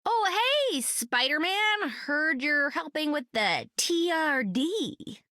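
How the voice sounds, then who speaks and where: An excitable young squirrel-loving heroine in a video game, her voice high, bright and bouncing all over. She rambles and apologizes in quick bursts, close and dry. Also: no echo, full character voice. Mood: bubbly.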